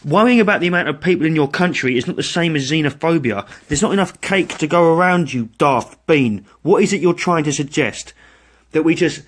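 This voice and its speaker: British male online commentator, husky edge, fairly high pitch, sarcastic and exasperated opinion rant. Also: animated, fairly quick. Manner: sarcastic, exasperated, informal insults.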